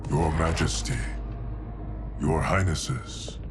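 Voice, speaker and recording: An actor playing a stoic, dutiful guardian of time in a fantasy video game, speaking in a formal, stately way. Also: male voice, extremely low pitch, slow pace, over music. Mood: stoic, explanatory. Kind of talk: cinematic fantasy game dialogue. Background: dramatic score.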